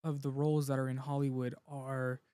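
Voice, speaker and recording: A man's voice: mid-range voice, flat delivery, brisk, mellow, clean tone, no echo. A young man from Puerto Rico, a native Spanish speaker, talking earnestly and from the heart as an interview guest, often restarting his sentences. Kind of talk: casual interview conversation.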